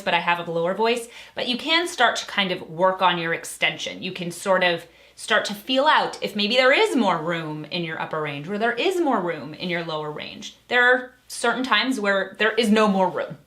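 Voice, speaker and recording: A young woman with a bright, clear tone chats to her viewers in a casual question-and-answer session. She is upbeat and enthusiastic, explaining things like a friendly teacher. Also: medium pitch. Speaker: opera singer and channel host. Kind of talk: casual Q&A to camera.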